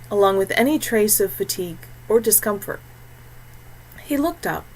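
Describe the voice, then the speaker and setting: A woman's voice: medium pitch, a little hiss or hum, rough recording. Female fantasy audiobook narrator. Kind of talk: scripted fantasy story narration.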